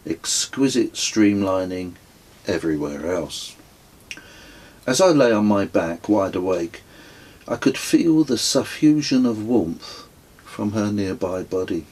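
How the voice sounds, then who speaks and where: A man reading his own memoir as an audiobook, telling it in a measured, wry storytelling way. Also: fairly low pitch, a little mellow, husky. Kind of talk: scripted audiobook narration.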